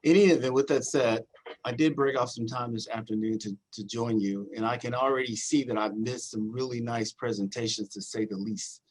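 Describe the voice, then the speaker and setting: A middle-aged man speaks in an average-pitched voice, giving loose welcoming remarks on a video call. He rambles and stumbles over repeated words. He is friendly and unscripted. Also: steady tone, a little fast, rough recording. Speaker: university dean, guest speaker.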